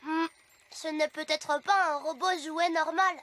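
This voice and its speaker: A woman plays an earnest little cartoon elf boy who likes giving orders. The voice is very high and bright, with measured, deliberate pacing. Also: steady tone, a little hiss or hum. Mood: earnest, wary. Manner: earnest, commanding, exclaiming. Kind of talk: children's cartoon dialogue.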